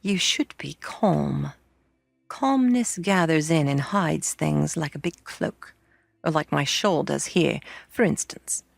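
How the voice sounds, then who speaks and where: Woman doing a storybook village girl, cool and mocking, slow and drawling, gravelly edges. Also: sits low, animated, no echo, full character voice. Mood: aloof. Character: cool, teasing, aloof young village girl.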